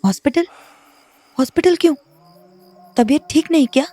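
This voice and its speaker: An actress playing an anxious married woman in an audio drama, speaking in hushed, worried questions. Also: high-pitched, moderate pace, lightly acted.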